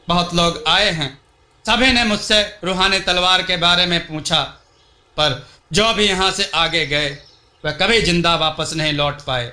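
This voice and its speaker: A wise old villager from a storybook, played by a man, explains and cautions solemnly. The voice is high and very bright, with an echo around it. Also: full character voice. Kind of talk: storybook dialogue.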